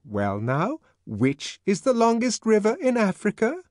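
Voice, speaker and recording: A woman's voice: mid-range voice, wildly swooping pitch, unhurried, darkish tone, no echo, studio quality. A woman playing an insistent instructor who recites and quizzes a child in an acted dialogue. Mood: insistent, reciting.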